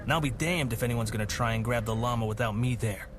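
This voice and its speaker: A man's voice: mid-range voice, fast talker, over music, close to an everyday voice. Man playing a curt, irritable police commissioner. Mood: gruff, irritated. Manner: curt, weary. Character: gruff, irritable veteran male police commissioner. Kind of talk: audio drama acting. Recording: car engine noise behind.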